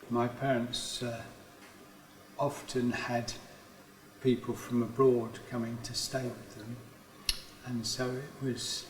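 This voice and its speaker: Flat, matter-of-fact man. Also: mid-range voice. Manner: matter-of-fact, plain-spoken. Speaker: refugee host. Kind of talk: casual conversation. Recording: roomy echo.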